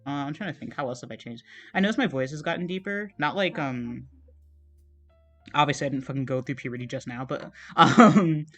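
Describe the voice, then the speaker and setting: A man's voice: fairly high pitch, fairly quick, faint music behind. Young man rambling while he draws. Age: young adult. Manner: rambling and meandering, many fillers and trailing-off thoughts; soft, relaxed, self-deprecating talk. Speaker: art streamer. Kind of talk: casual chat while drawing on a livestream.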